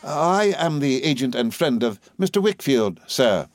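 A man voicing a good-natured, businesslike male agent in an audiobook, polite and quietly firm. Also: medium pitch, expressive, normal speed, no echo, pitched above the performer's own voice, close to an everyday voice. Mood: composed.

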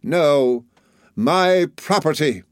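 A man's voice: fairly high pitch, animated, very slow, smooth, no echo, clean studio sound, pitched above the performer's own voice. A man voicing a forthright, commanding elderly aunt in an audiobook, speaking emphatically. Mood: fierce, demanding. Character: fierce, forthright, unflappable elderly aunt, affectionate to family. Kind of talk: audiobook character voice.

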